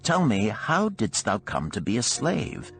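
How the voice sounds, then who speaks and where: A man's voice: fairly low pitch, huge pitch swings, a little slow, gravelly, fairly bright tone, faint music behind, pitched above the performer's own voice, exaggerated voice. A man voicing a cynical, spoiled young nobleman who speaks emphatically in formal, archaic language, in an audiobook. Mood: amazed, curious.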